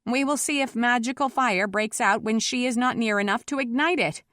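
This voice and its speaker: A woman's voice: a little high, normal speed, fairly bright tone, no room sound, clean studio sound, pitched above the performer's own voice, natural voice. A woman voicing an earnest, protective townsman in an audiobook, urgent and firm. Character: earnest protective townsman pleading against an angry mob. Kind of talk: audiobook character voice.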